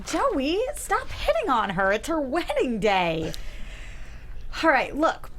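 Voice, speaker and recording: Young sitcom woman read by an actress: brisk, wry, bright swings of intonation, faint noise behind. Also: high-pitched, wildly swooping pitch, heavily acted. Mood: exasperated. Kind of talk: sitcom script table read.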